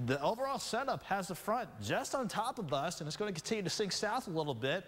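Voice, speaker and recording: Male TV meteorologist, higher register, conversational forecast delivery, reverberant studio sound. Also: a bit bright. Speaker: television weather forecaster. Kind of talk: broadcast weather report, conversational ad-libbed presenting. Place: television studio.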